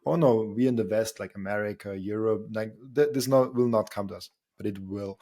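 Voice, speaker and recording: A man with a fairly low voice talks casually in an interview. His phrasing is halting, and he feels his way through each thought as a podcast host. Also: dark tone.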